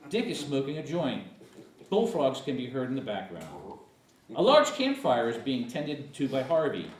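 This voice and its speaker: Animated man narrating in an echoey room. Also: mid-range voice, moderate pace. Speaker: narrator reading stage directions. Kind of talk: scripted narration at a screenplay table read. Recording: echoey room, distant mic, faint noise.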